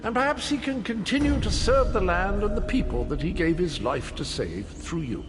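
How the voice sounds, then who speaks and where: An actor playing a jolly, eccentric gentleman knight in a video game, with a chummy, flamboyant upper-class British manner. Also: high-pitched, expressive, moderate pace. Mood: cheerful, inviting. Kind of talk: video game character acting. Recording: long reverb, music behind.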